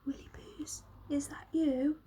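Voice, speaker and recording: A woman voicing a gentle, dazed father in a children's storybook, soft and hesitant. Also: sits high, flat delivery, slow, whispered, voice pushed up. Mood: weak, shaky.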